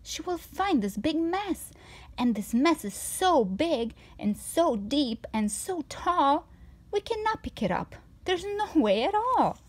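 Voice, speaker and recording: A woman's voice: sits high, very animated, slow, darkish tone, voice pushed up, full character voice. A woman voicing an anxious, bossy pet fish in a children's storybook, fretting and scolding emphatically. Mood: frantic, fearful. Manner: emphatic, alarmed, repeating words. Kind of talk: children's storybook read-aloud.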